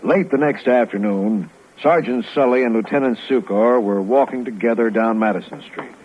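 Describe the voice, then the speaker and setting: A man plays an earnest American narrator of a 1950s radio crime program. He reads scripted case narration at medium pitch. The recording is muffled, with faint noise. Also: normal speed, natural voice.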